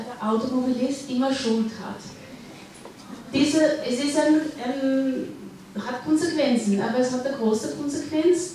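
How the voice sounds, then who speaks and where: A woman's voice: high-pitched, a little mellow, a little hiss or hum. A woman with a Dutch accent speaking up hesitantly from the audience at a public panel discussion. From Netherlands. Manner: hesitant, searching for words. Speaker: audience member. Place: large hall at a public event. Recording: echoey hall, distant mic.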